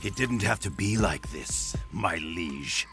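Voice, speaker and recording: An actor playing a sneering mercenary villain in a video game, drawling with mocking courtesy and smug menace. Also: male voice, sits low, lively intonation, slow, creaky, fairly bright tone, faint music behind, heavily acted. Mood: taunting, sinister. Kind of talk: theatrical video game acting.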